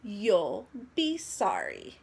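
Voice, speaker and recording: A woman's voice: medium pitch, wildly swooping pitch, very slow, gravelly, a little mellow, husky, exaggerated voice. A woman voicing a wicked, witchlike substitute teacher in a children's storybook, snapping orders and threats in a bossy manner. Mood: stern, threatening. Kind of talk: children's storybook read-aloud.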